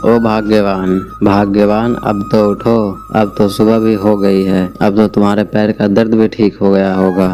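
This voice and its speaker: Man acting an even-toned, earnest village potter husband. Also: fairly low pitch, unhurried, soft background music, natural voice. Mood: patient. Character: hardworking, patient potter husband who nags mildly. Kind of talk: Hindi moral-story cartoon acting.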